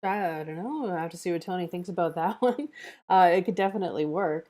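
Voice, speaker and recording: Female panelist, slightly low and very mellow, measured, tactful, conversational. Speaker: script table read panelist. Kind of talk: casual script discussion.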